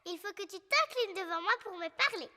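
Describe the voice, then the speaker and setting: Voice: very high voice, lively intonation, brisk, sharp tone, heavily acted. A performer voicing a cheerful, bossy little girl piglet in a children's cartoon, with simple, eager delivery. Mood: playful, bossy. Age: young child figure.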